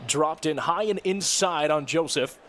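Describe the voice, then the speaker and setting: A man's voice: a little high, a little slow, fairly bright tone, a little hiss or hum. A young man doing live, upbeat baseball play-by-play at an outdoor ballpark. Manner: upbeat, chatty, rises sharply on strikeouts. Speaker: play-by-play broadcaster.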